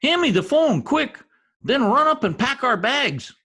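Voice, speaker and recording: A man's voice: extremely high pitch, very animated, a little slow, bright, forceful tone, breathy edge, full character voice. A man playing a blustery, slangy middle-aged husband in a recreated 1950s radio comedy. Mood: panicked. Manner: folksy slang, dropped grammar, exclaiming.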